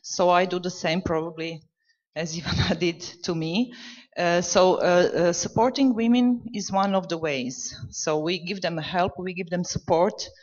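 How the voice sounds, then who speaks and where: A woman's voice: lowish voice, fairly even intonation, measured pace, a little mellow. Hesitant woman panelist in a hall. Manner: hesitant, many fillers. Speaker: panelist from a parents' organization. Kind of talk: conference panel answer. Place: conference hall. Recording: dull, reverberant hall recording.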